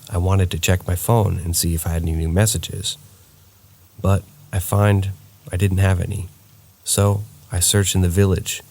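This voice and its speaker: Male narrator for true scary stories: deep, gravelly and husky, steady and somber. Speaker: narrator reading viewer stories.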